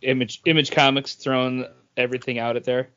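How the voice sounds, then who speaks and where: A man's voice: medium pitch, level tone, brisk, a bit bright, smooth. Male podcast co-host, mid-range voice, casual conversational delivery, slightly lo-fi audio. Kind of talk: casual comic book chat.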